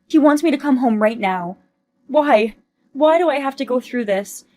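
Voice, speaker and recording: A woman voicing a greedy, entitled mom who whines and pleads loudly when caught out. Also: high voice, lively intonation, normal speed, pitched above the performer's own voice. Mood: whiny.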